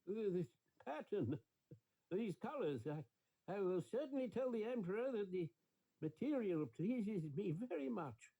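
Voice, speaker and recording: Man doing a flustered old minister, high and hesitant. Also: sing-song, unhurried, mellow, exaggerated voice, rough recording. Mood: nervous flattery. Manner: hesitant, faltering. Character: faithful old male minister, flustered and anxious to please.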